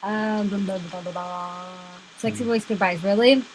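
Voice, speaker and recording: A woman's voice: medium pitch, fairly even intonation, moderate pace. A woman co-hosting a casual live stream, chatting bluntly with swearing and teasing. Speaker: podcast co-host. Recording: noisy live webcam stream.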